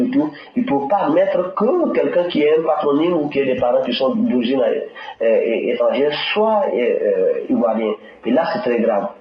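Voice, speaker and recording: Hesitant male interviewee, echoey. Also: medium pitch. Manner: hesitant, many fillers. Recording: dull roomy audio.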